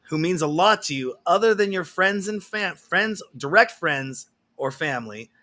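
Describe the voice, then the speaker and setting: A man's voice: sits high, animated, normal speed, sharp tone. A man hosting an interview, reading viewer questions out and rephrasing his own questions loosely. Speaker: interview host.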